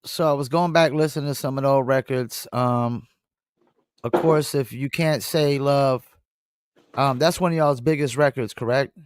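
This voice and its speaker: A man hosting a casual music interview, asking relaxed, admiring questions. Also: medium pitch, moderate pace, clear, resonant tone, no echo. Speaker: interviewer host.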